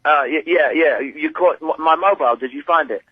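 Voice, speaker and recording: A British man on a dull, lo-fi phone line. His voice is high for a man and husky, and he speaks in blunt, exasperated slang. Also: brisk, no room sound. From Britain. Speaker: prank call victim, phone caller. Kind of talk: informal phone conversation. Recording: muffled lo-fi telephone line.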